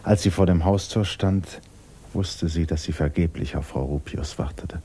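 A man's voice: low voice, moderate pace, warm tone, husky. A man narrating a literary radio play as scripted storytelling.

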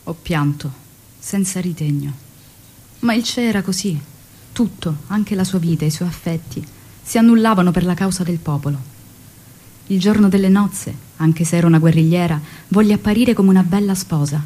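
An actress playing a revolutionary woman in love, recalling her story in a measured, confiding, emotional stage monologue. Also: fairly low pitch, dark tone, lightly acted. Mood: tender, resigned. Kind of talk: dramatic first-person stage monologue.

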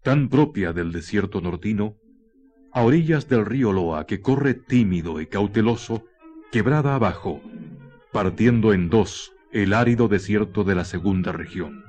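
A Chilean man narrating a scripted radio drama in a solemn, literary manner with measured phrasing. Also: fairly low pitch, lively intonation, darkish tone, muffled recording. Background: music.

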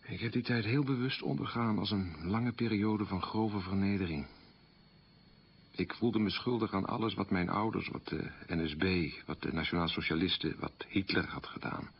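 A man's voice: low-pitched, moderate pace, muffled recording, slight background noise. An actor playing a proud, wounded man recalling a humiliating wartime childhood in a restrained radio monologue. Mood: ashamed, bitter. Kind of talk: radio drama monologue, confessional storytelling.

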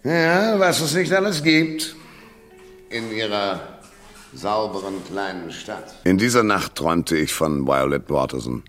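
An actor playing a wry, tough hardboiled private detective, narrating and trading dry banter in a radio noir. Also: male voice, lowish voice, animated, a little slow, soft background music. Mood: sardonic probing. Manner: sardonic, dry first-person narration and sharp banter.

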